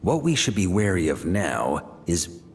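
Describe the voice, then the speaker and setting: A man's voice: low voice, sing-song, measured pace, slight background noise, exaggerated voice. A man voicing a jovial, confident grand master of a knightly order who gives firm commands. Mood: commanding. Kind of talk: video game voice acting.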